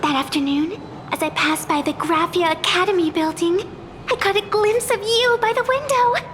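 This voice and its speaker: A woman's voice: very high voice, moderate pace, a bit bright, a little hiss or hum. A woman voicing a sweet-talking young fox fangirl in a video game, with a gushing, theatrical manner. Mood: gushing flattery.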